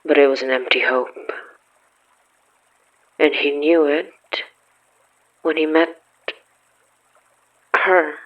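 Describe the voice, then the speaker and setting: A man reading scripted horror fiction as a narrator, solemn and measured with dramatic pauses. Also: fairly high pitch, fairly even intonation, dull audio.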